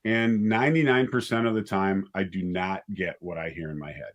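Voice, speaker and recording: Low-voiced man, hesitant and conversational. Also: moderate pace. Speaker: writer and director, interview guest. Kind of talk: casual interview talk.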